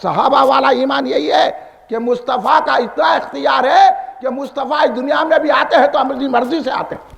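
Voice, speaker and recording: A man preaches in a Hyderabadi Deccani accent, his voice pitched extremely high with a gravelly edge. He is combative and emphatic, repeating phrases and firing off rhetorical questions in a fiery sermon style. Long hall reverb trails his amplified words. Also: steady tone, a bit bright, some chatter behind.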